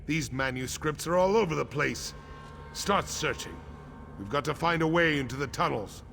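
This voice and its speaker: An actor playing a grim, resolute orc clan chief in a fantasy video game, gruff and solemn with emphatic delivery. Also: male voice, fairly high pitch, expressive, normal speed, fairly bright tone, a little hiss or hum. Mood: gruff, exasperated. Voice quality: gravelly.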